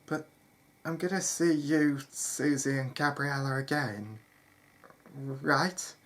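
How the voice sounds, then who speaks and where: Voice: mid-range voice, slow. A storyteller voicing a confused, frightened eighteen-year-old youth, a younger brother who sounds uncertain and pleading.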